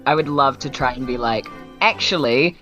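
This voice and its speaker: A woman's voice: rumbling low, steady tone, fairly quick, a bit bright, faint music behind. A woman with a New Zealand accent chatting casually as a player in a tabletop game.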